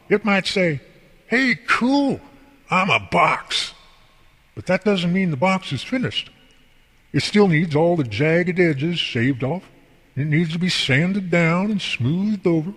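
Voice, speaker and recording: Guy playing folksy preachy workshop boss in a radio play, kinda husky, big reverb. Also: high voice, fairly bright tone, heavily acted. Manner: folksy, preachy, explaining at length. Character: folksy, devout male boss and craftsman, earnest mentor. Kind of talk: amateur radio drama dialogue. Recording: long hall reverb.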